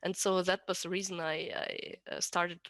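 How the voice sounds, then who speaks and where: A woman's voice: fairly low pitch, level tone, moderate pace, fairly bright tone, dry recording. A woman with an Austrian accent chatting casually as an interview guest, often restarting and correcting herself as she explains. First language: German. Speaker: podcast guest, rigger.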